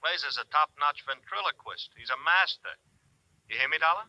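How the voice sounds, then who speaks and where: A brash, sarcastic talent agent. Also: male voice, a little high, animated, moderate pace, pushed and bright, dry recording. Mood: defensive. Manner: blunt, sarcastic, pushy. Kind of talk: 1950s radio drama acting. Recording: dull lo-fi vintage radio recording.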